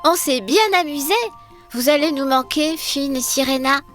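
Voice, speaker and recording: A woman voicing a brave, kind young girl adventurer in a children's cartoon, expressive and encouraging. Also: sits high, normal speed, faint music behind.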